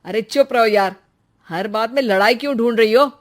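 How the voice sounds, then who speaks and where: A woman voicing a short-tempered young husband in a cartoon story, given to loud, exasperated outbursts. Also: fairly high pitch, normal speed, close to an everyday voice. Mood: irritated.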